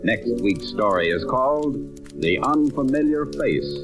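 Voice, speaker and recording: A man's voice: medium pitch, expressive, unhurried. A man announcing formally and dramatically in the style of a 1940s radio broadcast. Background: loud music.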